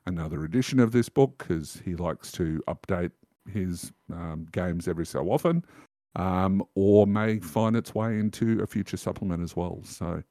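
A man's voice: low-pitched, normal speed, warm tone, no echo, studio quality. A man in his fifties chatting as a podcast guest, enthusiastic as he recommends something. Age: 50s. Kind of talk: casual podcast chat.

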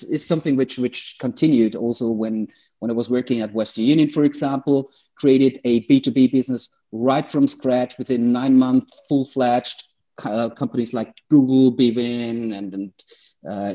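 A man from Austria with an Austrian accent and native German, telling his story as an interview guest in a halting, conversational way, often repeating words. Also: medium pitch, dark tone, highs cut off.

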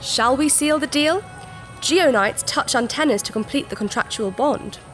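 Woman as high-voiced, haughty alien princess. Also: lively intonation, moderate pace, fairly bright tone, faint music behind. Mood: formal. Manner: formal, imperious, dramatic phrasing. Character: haughty, scheming alien princess with antennas, grandly formal. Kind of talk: sci-fi audio drama acting.

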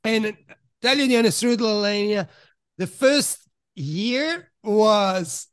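Middle-aged guy from italy raised in switzerland, pretty high voice, candid and thoughtful, dry close sound. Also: slow pace, a bit bright, clear, resonant tone, no echo. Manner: reflective and frank, searching for words. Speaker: podcast guest. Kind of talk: candid personal storytelling in conversation.

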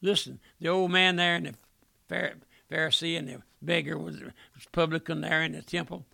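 A middle-aged male preacher delivering an impassioned revival sermon, often dropping to a hush on a repeated address to his listener. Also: on the high side, flat delivery, normal speed, creaky, no echo.

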